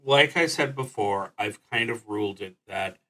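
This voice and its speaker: A man livestreaming a solo roleplaying game, thinking aloud in an unscripted, deliberative way with frequent pauses and restarts. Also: on the low side, pushed and bright, rough recording. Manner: halting, deliberative, often trailing off and restarting.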